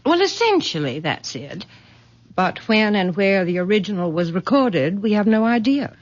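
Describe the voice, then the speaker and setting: A woman acting as a genteel mother firmly in control, in a vintage radio mystery. Her mid-pitched voice is expressive and authoritative, on a dull, muffled recording. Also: moderate pace, close to an everyday voice. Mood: firm, dismissive. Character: composed, commanding middle-aged mother, genteel and firmly in control.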